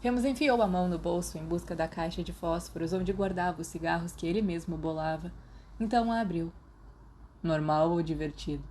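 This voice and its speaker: A woman with a Brazilian Portuguese accent reads fiction aloud in a calm, even manner. Her voice is low-set and mellow, with a warm, soft tone. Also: fairly quick, a little hiss or hum. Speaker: podfic reader. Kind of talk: scripted fiction narration.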